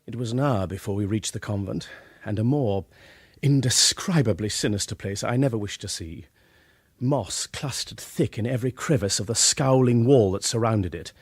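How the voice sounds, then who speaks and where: An actor playing a rational, commanding English gentleman in a period radio drama, formal, articulate and emphatic. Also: on the low side, normal speed, breathy edge, no room sound, studio quality. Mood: grave narration.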